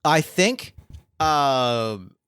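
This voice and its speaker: A man's voice: mid-range voice, animated, extremely slow, fairly bright tone, clean tone, no echo, clean studio sound. A man acting as game master, thinking aloud with many fillers and restarts as he sets up a scene. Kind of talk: improvising a tabletop game scene.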